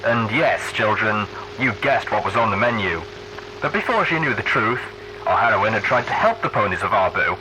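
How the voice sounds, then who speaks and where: A man's voice: fairly low pitch, lively intonation, moderate pace, background noise, lightly acted. A man voicing a brash, theatrical pony radio DJ who delivers news in a folksy, emphatic showman's style. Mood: confiding. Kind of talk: post-apocalyptic radio broadcast.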